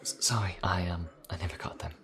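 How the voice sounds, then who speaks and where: A man's voice: low-pitched, fairly quick, a bit bright, voice pushed up. A man voicing a nervous, polite, bookish vampire in a fantasy audio roleplay, hesitant and stammering. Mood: nervous, apologetic.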